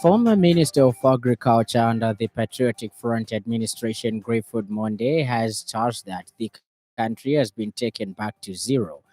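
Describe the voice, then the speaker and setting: A man's voice: medium pitch, normal speed, darkish tone, faint music behind, poor audio. A man with a Zambian accent reading a formal radio news bulletin as a news reader.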